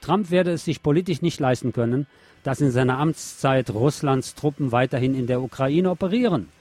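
A man's voice: mid-range voice, moderate pace, darkish tone, dry recording. A man hosting a radio show, reading news articles aloud in a sardonic way with mocking asides.

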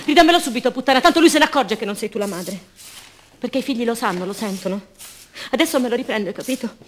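A woman's voice: high voice, a bit bright, slight background noise, heavily acted. An actress playing an anguished, fierce captive mother robbed of her baby, in an intense, insistent radio monologue full of repetition.

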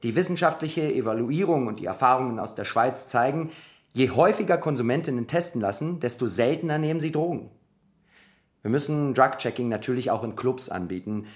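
An actor in a radio drama playing an earnest, idealistic local politician who speaks articulately. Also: male voice, mid-range voice, close to an everyday voice. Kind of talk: naturalistic German radio drama.

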